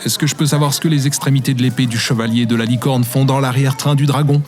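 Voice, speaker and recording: A man's voice: mid-range voice, steady tone, fast talker, a little mellow, natural voice. An actor playing a stern, exasperated male toy shop boss in a French audio drama, curt and formally reproving. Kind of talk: French audio fiction acting. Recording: faint music behind the voice. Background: music.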